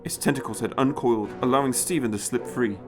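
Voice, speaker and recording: A man's voice: medium pitch, quick pace, over music. A man giving a dramatic, scripted narration of a story.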